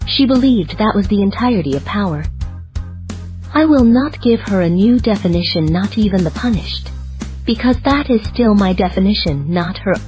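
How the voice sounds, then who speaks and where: A woman's voice: mid-range voice, expressive, moderate pace, darkish tone, faint music behind, natural voice. A woman voicing a resolute, merciful goddess, speaking in solemn declarations in an audio fantasy drama. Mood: solemn resolve. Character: resolute merciful goddess passing judgement on a rival. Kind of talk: dramatic audio story dialogue.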